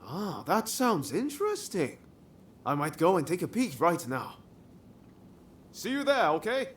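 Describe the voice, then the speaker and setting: A man's voice: a little high, huge pitch swings, a little slow, a bit bright, full character voice. A man playing a breezy, encouraging warrior comrade in a video game cutscene, speaking casually. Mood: curious. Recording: clear background ambient noise.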